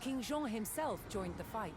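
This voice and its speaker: A woman reading scripted historical documentary narration in a measured, dramatic storytelling manner. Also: a little high, animated, noisy background. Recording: loud music under the voice. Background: loud background music.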